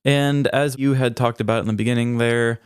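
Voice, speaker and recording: A man's voice: medium pitch, fairly even intonation, brisk, clean tone, no room sound. A man co-hosting a podcast in casual, informal chat, laid-back with dry jokes. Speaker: podcast co-host.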